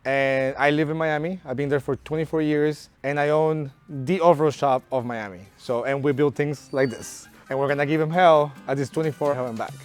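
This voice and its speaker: A man's voice: a little high, fairly even intonation, normal speed, few pauses, clean tone, soft background music. A man in his thirties from Colombia who owns an off-road shop, speaking in a friendly, chatty casual interview. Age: 30s. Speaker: off-road shop owner and competitor.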